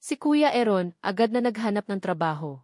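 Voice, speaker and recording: A woman's voice: medium pitch, sing-song, moderate pace, a little mellow, no echo, studio quality. A woman giving an emotional, melodramatic first-person narration of a scripted drama story.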